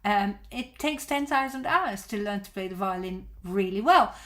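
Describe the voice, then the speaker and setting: A woman's voice: mid-range voice, lively intonation, moderate pace, a bit bright. A middle-aged woman whose first language is Dutch, a violin teacher chatting on a live stream and answering viewer questions in a reassuring way.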